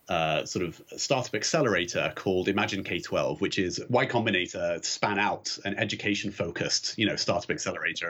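A man's voice: on the low side, fairly bright tone, slightly rough. A young British man from the UK, a startup CEO answering interview questions eagerly, often repeating words as he starts a sentence.

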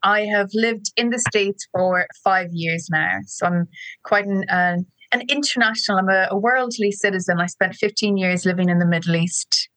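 An Irish woman in her forties tells stories with warm enthusiasm and chatty energy. Her voice is dry and close, with little room sound around it. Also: mid-range voice, normal speed, no room sound, poor audio. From Ireland. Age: 40s. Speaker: guest, education app co-founder. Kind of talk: podcast interview. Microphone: remote call.

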